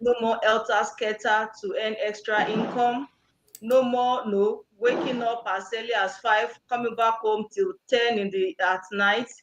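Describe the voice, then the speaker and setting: A woman's voice: fairly high pitch, steady tone, measured pace, highs cut off, noisy background. A woman from Nigeria, a nurse, co-hosting a live online webinar in a cheerful, motivational way. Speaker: co-host, nurse.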